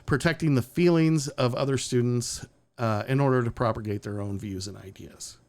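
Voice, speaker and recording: A man's voice: mid-range voice, moderate pace, a little mellow. A man hosting a live-streamed talk show, talking casually and bluntly with sarcastic asides and swearing. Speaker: podcast host. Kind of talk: casual opinionated chat.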